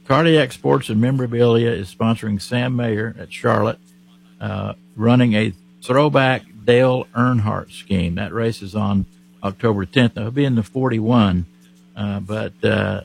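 Gravelly Oklahoma man hosting a racing show. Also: on the low side, a little slow, poor audio. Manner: folksy, relaxed delivery with frequent fillers. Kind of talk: casual motorsport chat.

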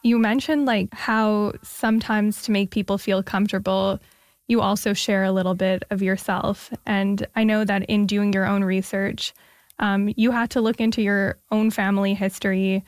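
A woman's voice: mid-range voice, little pitch movement, normal speed, no echo. Woman hosting a dry studio interview. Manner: friendly, uses filler "like" in questions. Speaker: radio show host. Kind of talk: interview, scripted intro then chat.

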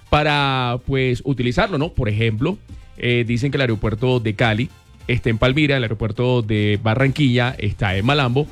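A man hosting a radio show, joking and prompting listeners to send in voice notes. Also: mid-range voice. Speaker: radio host. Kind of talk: casual radio chat.

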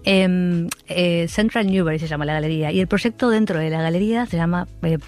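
A woman's voice: lowish voice, fairly quick, a little mellow. A woman from Argentina with a Rioplatense accent, a guest who speaks in a casual interview with many fillers and restarts. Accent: Rioplatense Spanish. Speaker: art curator guest.

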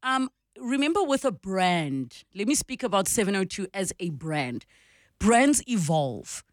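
A woman's voice: medium pitch, big pitch swings, measured pace, no echo. South African woman radio host, dry close sound. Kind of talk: conversational advice and interviewing.

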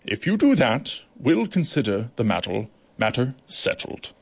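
Man doing beetle judge, male, gruff, magisterial, slow, solemn, gravelly, husky. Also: medium pitch, sing-song, exaggerated voice.

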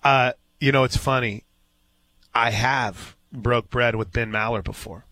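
A man's voice: mid-range voice, steady tone, a little slow, sharp tone, dry recording. A man hosting a live sports radio show, telling stories in a casual, folksy, exclamatory way.

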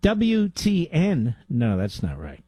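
A man's voice: on the high side, wildly swooping pitch, takes it slowly, mellow, breathy edge, dry recording, studio quality. A man hosting a call-in radio talk show, blunt and emphatic, often cutting in on his callers.

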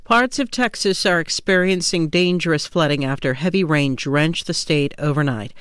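A woman reading the radio news in a measured, formal newscast style from a studio. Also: sits low, clear, resonant tone, no room sound. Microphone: studio microphone.

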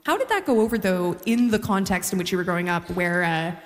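Fast-talking woman interviewing onstage. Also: fairly low pitch, lively intonation, faint music behind. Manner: conversational, inquisitive. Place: large hall, on stage. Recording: echoey hall with long reverb.

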